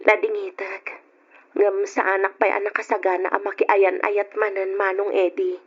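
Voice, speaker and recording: A woman playing a resolute young single mother in a radio drama, earnest and husky, with an Ilocano accent. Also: medium pitch, little pitch movement, normal speed, close to an everyday voice. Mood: gentle, firm. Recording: dull old radio drama recording.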